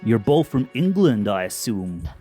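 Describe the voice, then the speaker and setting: A man's voice: fairly low pitch, sing-song, measured pace, warm tone, breathy edge, faint music behind, exaggerated voice. A man playing an alien villain who poses as a brusque American police detective in an audio drama, smug and theatrical. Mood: brisk, official.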